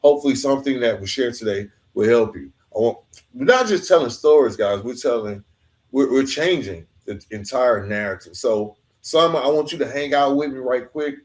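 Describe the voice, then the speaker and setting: A man with a mid-pitched voice hosts a conversational podcast. He talks informally and earnestly, using everyday colloquial phrasing. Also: expressive, normal speed. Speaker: podcast host. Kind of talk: conversational interview.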